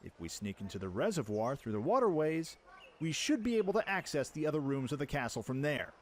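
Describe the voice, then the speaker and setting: A man acts out a bold, cheeky young prince for a radio play. His delivery is very animated, leaping up and down in pitch, over faint background noise. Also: fairly quick. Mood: excited.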